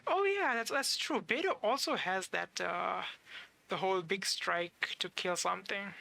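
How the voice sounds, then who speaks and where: A man's voice: sits high, expressive, a little slow, gravelly, bright, forceful tone, no room sound. A man giving casual live commentary as he plays a game, musing aloud in a hesitant way. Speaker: game streamer.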